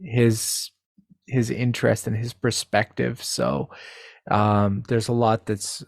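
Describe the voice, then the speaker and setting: Halting male guest, very creaky voice. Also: medium pitch, flat delivery, no room sound, studio quality. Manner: halting, repeats words, searching for phrases. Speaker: podcast guest, translator. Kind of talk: casual discussion.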